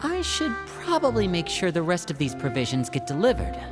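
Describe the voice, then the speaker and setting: A performer voicing a polite, charming young magician in a video game, courteous and eager to host guests. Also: female voice, fairly low pitch, wildly swooping pitch, fairly quick. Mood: friendly. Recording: game audio with faint music.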